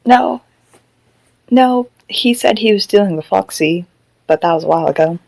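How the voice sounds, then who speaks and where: A woman playing an embarrassed, awkward housemate in an audio drama, speaking in a halting, sheepish manner. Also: mid-range voice, animated, gravelly, a little mellow, no echo, rough recording. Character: embarrassed, awkward housemate downplaying her frustration.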